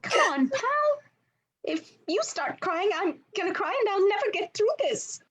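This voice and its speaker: A woman's voice: extremely high pitch, very animated, normal speed, a little hiss or hum. A woman voicing an earnest, self-important little male monster sidekick in a read-through of a comedy script. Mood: earnest, wounded. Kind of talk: cast table read of a film script.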